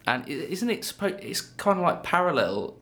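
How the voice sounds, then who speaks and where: A young man with a croaky voice, a guest on a casual film podcast, retelling plots in a rambling, hesitant way. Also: on the high side, sing-song, moderate pace, a bit bright. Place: small studio.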